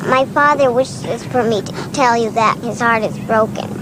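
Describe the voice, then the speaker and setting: A female actor playing a timid, earnest young daughter speaking in a halting, solemn manner. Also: fairly high pitch, background noise, lightly acted. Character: timid, earnest young daughter relaying her father's apology. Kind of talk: television drama acting.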